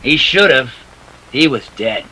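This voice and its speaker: An actor playing a tough, wisecracking private detective in a 1940s radio drama, clipped and defiant. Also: male voice, mid-range voice, animated, a little slow, pushed and bright, husky, heavily acted, poor audio. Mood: hard-boiled narrating. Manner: hard-boiled, clipped, defiant. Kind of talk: 1940s radio drama acting with first-person narration.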